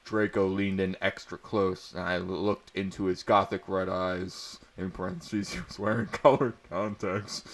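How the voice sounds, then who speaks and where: A man doing a mock-dramatic read-aloud of amateur fiction, with asides and a tendency to crack up. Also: on the low side. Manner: often breaks into laughter while reading. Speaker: amateur reader of fan fiction.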